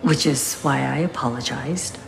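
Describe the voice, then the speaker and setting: A woman's voice: extremely low pitch, moderate pace, vocal fry, faint music behind. A woman playing a hurt, exasperated young ex-girlfriend in a naturalistic audio drama, blunt and wary. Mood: guarded, irritated.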